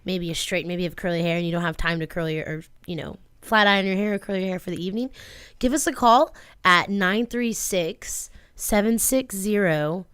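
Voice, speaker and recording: Clear, smooth-voiced woman chatting on radio. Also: on the low side, a little fast, a bit bright, no room sound, clean studio sound. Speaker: radio show co-host and hairstylist. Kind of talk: casual radio chat. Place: radio studio.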